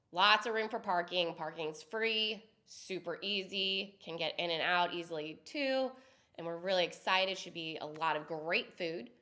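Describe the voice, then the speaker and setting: A woman's voice: mid-range voice, pushed and bright. A woman co-hosting a podcast with a head cold, chatting through a list of local events in a casual, friendly way. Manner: chatty, lists events and details conversationally. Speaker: podcast co-host.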